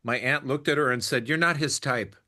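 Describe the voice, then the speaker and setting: Guy guest-hosting a comedy podcast, teases the others, laughs, trails off quiet. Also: a little fast. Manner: teasing, laughing, drifts soft and low. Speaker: guest co-host. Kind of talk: casual comedic chat.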